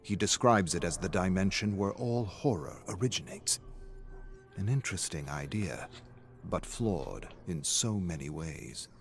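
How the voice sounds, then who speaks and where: Male narrator, very low register, measured scripted storytelling of journal entries, music bed behind. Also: animated. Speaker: reader of game lore entries.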